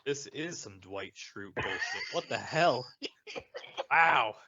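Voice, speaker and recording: A man's voice: mid-range voice, lively intonation, slow pace, sharp tone, lo-fi sound, a little hiss or hum. A man bantering casually as a podcast co-host, with some free swearing. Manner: swears freely.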